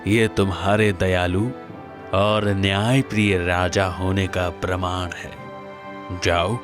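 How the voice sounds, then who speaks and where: A man voicing a wise old forest sage in a cartoon moral story, speaking in grave, deliberate pronouncements. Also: fairly low pitch.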